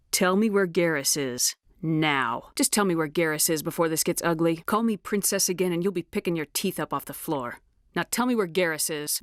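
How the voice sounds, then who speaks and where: A woman's voice: fairly low pitch, no echo, studio quality, close to an everyday voice. An actress playing a tough, commanding female military officer in a science fiction video game, speaking in a firm, clipped, no-nonsense way. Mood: threatening. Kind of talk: video game dialogue lines.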